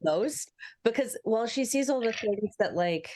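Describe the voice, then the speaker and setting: A woman's voice: a little high, lively intonation, moderate pace, warm tone, slight background noise. A woman playing a roleplaying game, casually narrating her character's thoughts in a chatty way.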